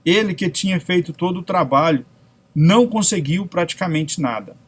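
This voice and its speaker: Brazilian male narrator, fairly high voice, reading spiritual teachings aloud. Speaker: narrator reading self-help spiritual texts. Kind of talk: read-aloud spiritual lecture.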